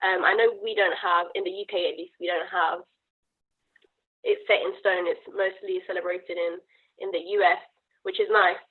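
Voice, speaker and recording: A woman's voice: lowish voice, steady tone, normal speed, breathy edge. A woman hosting a casual panel discussion over a video call. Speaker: podcast host. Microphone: remote video call. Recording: thin, phone-like video call audio.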